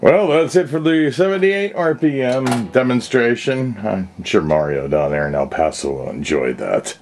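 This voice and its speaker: A man's voice: mid-range voice, lively intonation, normal speed, darkish tone, a little hiss or hum. A man giving a casual, unscripted, plain-spoken demonstration as a hobbyist restorer, recorded indoors on a camera's built-in microphone.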